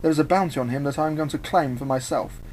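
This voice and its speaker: A man's voice: on the high side, quick pace, a little mellow, slight background noise, natural voice. A man voicing a stern, curt, confident woman bounty hunter in a story reading. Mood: resolute.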